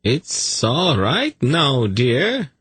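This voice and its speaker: A man's voice: mid-range voice, huge pitch swings, drawn-out words, a bit bright, slightly rough, no echo, pitched above the performer's own voice, exaggerated voice. A man voicing a nervous, apologetic, fussy pet owner in an audiobook story, pleading and coaxing. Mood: soothing, gently scolding.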